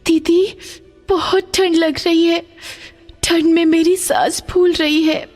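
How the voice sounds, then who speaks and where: A woman voicing a distressed, caring orphan village girl in an animated moral story, pleading and emotional. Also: extremely high pitch, slow pace, soft background music, voice pushed up. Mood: sad, weary.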